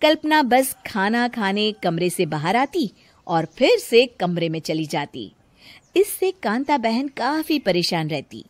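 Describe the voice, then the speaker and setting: A woman's voice: mid-range voice, big pitch swings, slow pace, no room sound. A woman narrating a scripted cartoon story in a plain storytelling manner.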